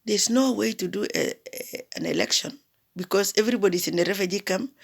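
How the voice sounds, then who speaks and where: An impassioned woman from South Sudan speaks out in an emphatic political address. Her voice has a gravelly, fry-tinged edge at a middling pitch. She drives her points home with repeated phrases and pointed rhetorical questions. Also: a little fast. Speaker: diaspora commentator.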